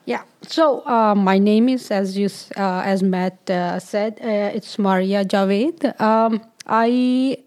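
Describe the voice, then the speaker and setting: A woman's voice: medium pitch, slow pace, few pauses, a little mellow, clear, resonant tone. Hesitant woman from Pakistan, interviewed. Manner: hesitant, many fillers, laughs often. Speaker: guest physician. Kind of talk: studio interview. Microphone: studio mic.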